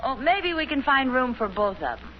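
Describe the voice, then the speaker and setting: An actress playing a gracious suburban wife and mother in a 1950s radio sitcom, polite, soothing and gently teasing. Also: sits high, very animated, normal speed. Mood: polite, placating. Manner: polite, soothing, dryly witty. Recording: muffled, very noisy 1950s radio recording.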